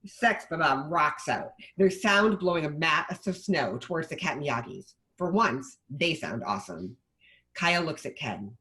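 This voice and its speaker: A man's voice: a little high, lively intonation, a little slow, sharp tone, rough recording. A man reading stage directions as scripted narration for a table read.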